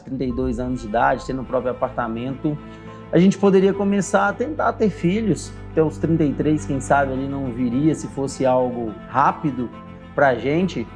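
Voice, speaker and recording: A man in his thirties tells his own story in an earnest, reflective tone, his voice sitting a little high for a man. Soft music plays faintly beneath his narration. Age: 30s. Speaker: barbershop owner and course creator. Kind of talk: scripted personal story narration.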